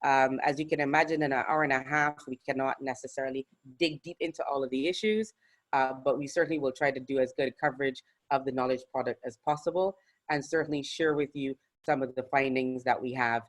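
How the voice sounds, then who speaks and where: A speaker presenting slides at an online workshop over a video call, measured and structured with a few fillers. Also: medium pitch, fairly even intonation. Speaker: webinar presenter.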